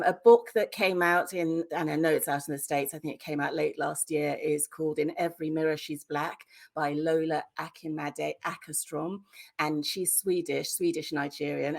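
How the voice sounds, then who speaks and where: A woman with a low-pitched British voice talks candidly as an interview guest. She is emphatic and conversational, handing out advice in plain, slightly sweary language. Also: gravelly. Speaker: debut novelist, podcast guest. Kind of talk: conversational interview answers, giving writing advice.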